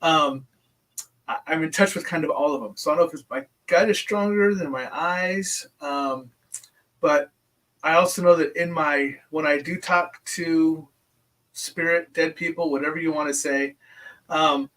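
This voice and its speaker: A man hosts a relaxed interview, his voice sitting fairly high for a man. He talks hesitantly, circling back with restarts and ums. He sounds a little distant from the microphone. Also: measured pace. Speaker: podcast host. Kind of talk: casual interview chat.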